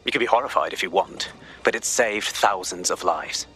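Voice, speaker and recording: An actor playing a grave, guarded male intelligence officer in a video game, speaking in a measured, clipped, humourless way. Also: low voice, bright, forceful tone, soft background music, rough recording. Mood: cool, curt.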